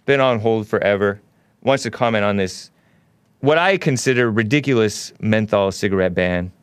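A man's voice: on the low side, normal speed, clear, resonant tone, dry recording. A man hosting a casual call-in show, musing aloud in a laid-back way and chuckling often. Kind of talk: casual call-in radio chat.

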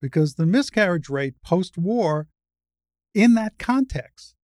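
A woman's voice: on the low side, animated, takes it slowly, a little mellow, no echo, studio quality. A woman in her sixties speaking as an interview guest, explaining things step by step in an emphatic, didactic conversational way. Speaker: interview guest, retired surgeon and advocate.

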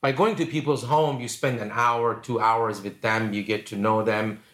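A man's voice: fairly low pitch, fairly even intonation, normal speed, fairly bright tone. A man in his fifties with an Iranian accent, telling personal stories conversationally as a podcast guest. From Iran. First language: Persian. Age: 50s. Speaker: podcast guest, venture capitalist.

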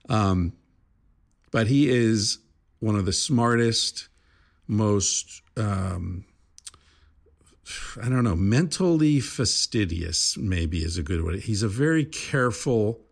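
Middle-aged male podcast host, low gravelly voice, rambling casual delivery with fillers and swearing. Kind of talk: casual podcast intro monologue.

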